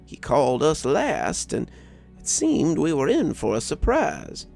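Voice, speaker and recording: A man voicing a folksy elderly grandfather, a war veteran telling stories of his youth in an unhurried, gravelly voice. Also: a little high, wildly swooping pitch, darkish tone, faint music behind, exaggerated voice. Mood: calm, reminiscing. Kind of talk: first-person storytelling.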